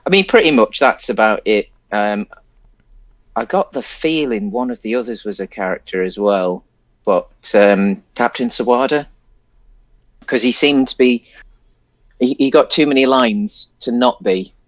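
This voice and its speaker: A man's voice: mid-range voice, measured pace, no room sound, dull audio. A man co-hosting a podcast, casually discussing a film in a hesitant, rambling way. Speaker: podcast co-host.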